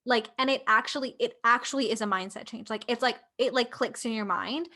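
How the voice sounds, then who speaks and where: A woman's voice: fairly high pitch, brisk, bright, forceful tone. A young woman with a Canadian accent, co-hosting a podcast and talking things through with many fillers. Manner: thoughtful, filler-heavy, many "like"s. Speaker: podcast co-host. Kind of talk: casual conversation.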